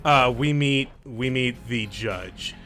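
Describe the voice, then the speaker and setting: A man commenting casually while playing a video game, relaxed and a little hesitant. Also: medium pitch, slow. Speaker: let's play commentator. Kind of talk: casual chat over gameplay. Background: game music.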